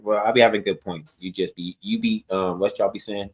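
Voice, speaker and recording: A man with a squeaky voice, arguing defensively with a lot of cursing on a podcast. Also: fairly even intonation. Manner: fast, profane, defensive. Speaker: podcast co-host. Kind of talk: heated argument among podcast hosts.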